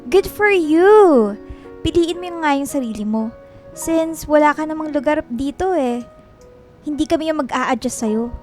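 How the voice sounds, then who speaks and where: Mocking office boss: high voice, condescending, stretched words, radio drama over a music bed. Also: female voice, expressive, measured pace, darkish tone.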